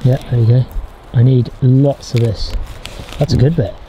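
A man's voice: medium pitch, fairly even intonation, normal speed, noisy background. Man explaining bushcraft in the woods. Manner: explains while working with his hands, restarts often. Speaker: bushcraft vlogger. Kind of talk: casual outdoor how-to narration. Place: outdoors in a pine forest.

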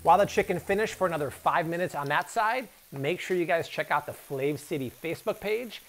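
A man's voice: a little high, a little fast. Upbeat Chicago man hosting a cooking show. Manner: upbeat, playful, salesy. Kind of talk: energetic cooking demo. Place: home kitchen, indoors.

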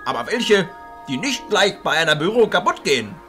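An old wizard archchancellor in a fantasy radio play. His voice is high and sharply bright, with huge swings in pitch. He declaims with commanding, excitable emphasis over music. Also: fairly quick, heavily acted. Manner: declamatory, emphatic, swinging between hushed and booming. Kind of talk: fantasy audio drama acting.

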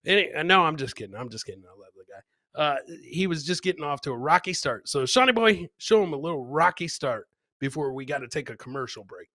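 A middle-aged man hosts a casual comedy livestream in a bright, forceful voice that sits a little high. He jokes with viewers as he comments on clips and likes to repeat his phrases. The sound is close and dry, as through a headset. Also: lively intonation, a little fast, no echo. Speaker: comedy show host. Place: indoor home studio, webcam livestream.

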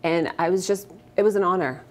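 A woman in her thirties from Afghanistan, a guest actress in a morning TV studio interview, speaking earnestly and fluently with soft fillers on a lapel mic. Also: fairly low pitch, brisk. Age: 30s. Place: TV studio set, indoors.

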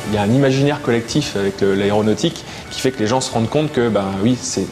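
A man's voice: medium pitch, fast talker. A man, a contractor's manager, explaining in a composed way in a documentary interview. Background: background music.

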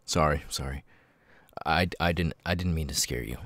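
A man voicing an apologetic, troubled ex-convict with tics, talking haltingly and stammering in an ASMR audio roleplay. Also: extremely low pitch, dry recording. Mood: apologetic, rueful. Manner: halting, stammering, sudden loud tic outbursts.

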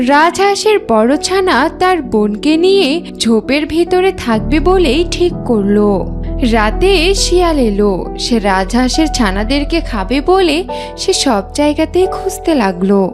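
A woman's voice: high voice, big pitch swings, moderate pace. A woman narrating a scripted children's cartoon story. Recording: music under the voice.

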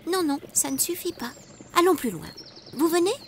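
A woman's voice: extremely high pitch, big pitch swings, normal speed, fairly bright tone, a little hiss or hum, heavily acted. A woman voicing a tiny, friendly spider who enthusiastically guides children and explains nature in an educational cartoon. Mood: explaining, reassuring. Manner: enthusiastic, instructive, playful. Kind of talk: animated educational cartoon acting.

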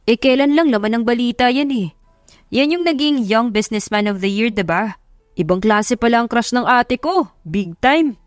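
A woman's voice: a little high, lively intonation, normal speed, no room sound, clean studio sound, lightly acted. A woman voicing a nosy, teasing younger brother in an audio drama, with a playful, mocking manner. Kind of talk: Tagalog audio drama acting.

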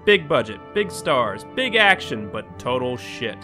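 A man's voice: mid-range voice, sing-song, measured pace, over music. A young man delivering a scripted video essay in a wordy, articulate, opinionated way as host and critic. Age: young adult.

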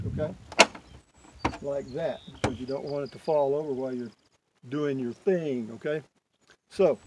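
An older man casually demonstrating camping gear beside his truck outdoors, rambling and folksy, correcting himself as he goes. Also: on the high side. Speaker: vlogger reviewing gear. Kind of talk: casual product demo. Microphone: camera close up on tailgate. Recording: outdoor recording with clear background noise.

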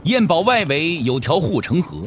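A man narrating a martial-arts audio drama in a measured, expressive, scripted storytelling style. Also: fairly high pitch. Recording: music under the voice. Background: background music.

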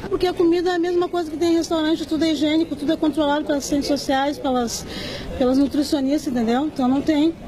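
A woman's voice: high-pitched, fairly even intonation, quick pace, a crowd in the background, poor audio. A middle-aged woman interviewed on a city street, speaking emphatically. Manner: emphatic, repeats "entendeu". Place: outdoors on a busy city street.

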